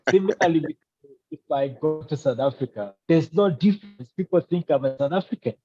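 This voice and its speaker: A man with a Zambian accent speaking conversationally as an interview guest, with hesitant, halting phrasing. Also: fairly high pitch, animated, darkish tone, dull audio, faint music behind. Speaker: podcast guest.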